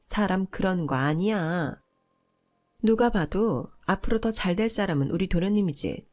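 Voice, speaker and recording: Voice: medium pitch, animated, unhurried, darkish tone, pitched above the performer's own voice, close to an everyday voice. Pushy, scolding sister-in-law acted by a narrator. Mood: condescending. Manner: condescending, nagging, argumentative. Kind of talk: acted dialogue in a narrated family story.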